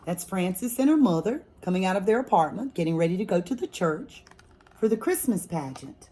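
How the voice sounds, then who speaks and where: A woman teacher reading a picture book aloud to children, expressively and deliberately, pausing to question her young listeners. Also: on the low side, very animated, dark tone, slightly rough.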